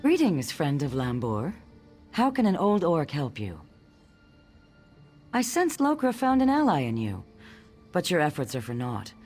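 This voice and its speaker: Wise old orc woman, expressive pitch. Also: soft background music, heavily acted. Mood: welcoming. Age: older. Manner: sage, deliberate, declarative. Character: wise old orc grandmother, calm clan elder. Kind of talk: video game dialogue acting.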